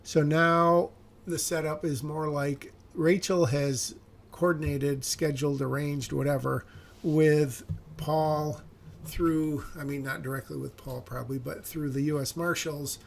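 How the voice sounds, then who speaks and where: Gravelly man musing over his script. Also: on the high side, fairly even intonation, measured pace, slight background noise. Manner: musing, hesitant, self-correcting. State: slightly raspy voice. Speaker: screenwriter. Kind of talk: thinking aloud while reviewing a script.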